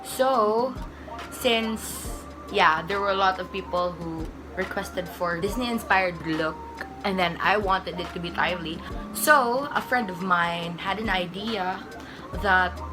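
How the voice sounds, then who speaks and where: A woman's voice: lowish voice, takes it slowly. A young Filipino woman with a Filipino accent chatting casually through her first makeup tutorial at home, rambling and correcting herself as she goes. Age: young adult. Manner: rambling, self-correcting, giggly asides. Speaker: beauty vlogger. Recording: background music playing.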